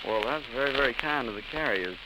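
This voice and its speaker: American guy hosting an old 1930s radio interview, kinda halting and polite, super crackly noisy recording. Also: mid-range voice, lively intonation.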